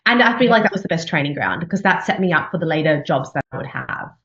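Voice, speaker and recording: A woman's voice: low-pitched, lively intonation, fast talker, a bit bright. A woman in her forties with an Australian accent, talking about her career as a journalist in a chatty interview, with many fillers and restarts and easy laughter. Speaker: journalist and news anchor being interviewed. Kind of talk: conversational interview. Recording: echoey room, slightly dull sound.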